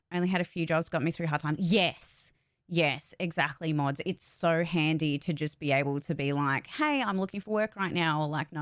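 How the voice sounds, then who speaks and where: A woman with a clear, smooth voice set a little low talks in a chatty, rambling way, as a streamer would to her viewers. The sound is dry, with hardly any room on it. Her delivery is informal and conversational. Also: a bit bright, no echo. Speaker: voice actor and streamer giving updates. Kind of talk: casual livestream chat.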